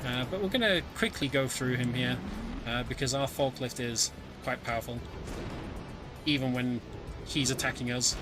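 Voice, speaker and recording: A man's voice: medium pitch, normal speed, long pauses, music in the background. A man giving casual live commentary as he plays a video game, explaining the story as he goes. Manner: explanatory, narrates the story while playing. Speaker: speedrunner commentating own game run.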